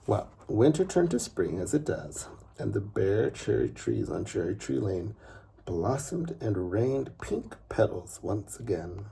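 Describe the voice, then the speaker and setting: A man reading a children's story aloud in an expressive, unhurried way with dramatic pauses and a husky, gravelly voice. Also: fairly low pitch, slight background noise. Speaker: father reading a bedtime story.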